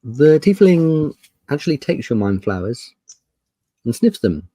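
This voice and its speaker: A man running a tabletop roleplaying game, narrating scenes in a measured, descriptive and polite way. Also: on the high side, big pitch swings, mellow, no echo. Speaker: game master.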